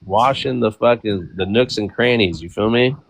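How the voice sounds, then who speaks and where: Gravelly-voiced man in his thirties, laid-back. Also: lowish voice, normal speed. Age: 30s. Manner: laid-back, frank and profane, peppered with "you feel me". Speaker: podcast co-host. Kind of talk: casual chat.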